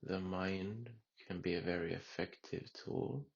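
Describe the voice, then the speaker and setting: A man guiding a meditation in a calm, unhurried way with long pauses. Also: deep voice, vocal fry, breathy edge, muffled recording. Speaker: meditation guide. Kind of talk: guided meditation.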